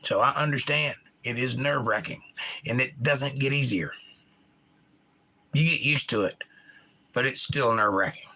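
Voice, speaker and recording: A middle-aged man whose Georgia accent has drifted a bit north, chatting casually with his livestream viewers. His voice sits in an ordinary middle pitch with a bright, forward edge, and he spells out every consonant with care. He sounds animated and opinionated as he reads comments aloud and answers them. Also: moderate pace, long pauses, low-quality recording. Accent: Georgia, US South. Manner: enunciates crisply, animated, opinionated. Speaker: livestream host.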